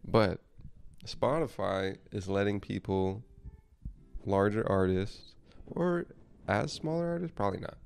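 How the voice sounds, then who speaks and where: A man's voice: on the low side, a little slow, vocal fry, pauses often, darkish tone, smooth. A young man co-hosting a podcast, rambling and swearing casually as he talks. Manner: rambling and profane, trails off mid-thought. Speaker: podcast co-host. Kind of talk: casual chat.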